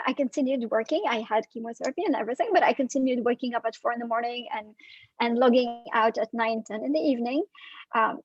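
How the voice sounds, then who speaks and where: A woman in her forties from Syria, a life and career coach, speaking reflectively as an interview guest with frequent pauses and fillers. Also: a little high, breathy edge, no room sound, dull audio. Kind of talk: guest in casual video interview.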